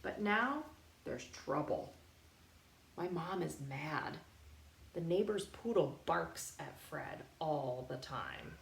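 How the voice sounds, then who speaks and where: A woman reading a picture book aloud in a playful, expressive way, pausing to put questions directly to young children. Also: low voice, vocal fry. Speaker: storytime reader for young children. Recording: roomy echo, faint background noise.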